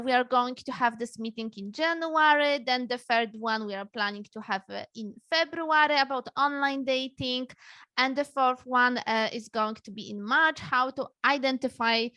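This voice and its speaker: A woman from Poland, a dating coach hosting a live webinar, speaking in a slow, friendly way with many fillers and soft laughs, recorded on a computer mic during a video call. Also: sits high, dry recording.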